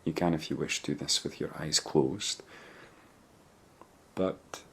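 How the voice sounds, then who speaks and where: A man leading a guided body scan meditation, giving gentle, measured instructions with pauses. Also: very deep voice, fairly even intonation, vocal fry, husky.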